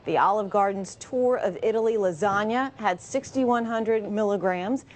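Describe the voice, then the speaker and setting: A woman anchoring local TV news, reading stories in a crisp, even newsreader delivery from the studio. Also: medium pitch, moderate pace. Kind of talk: read local TV news copy.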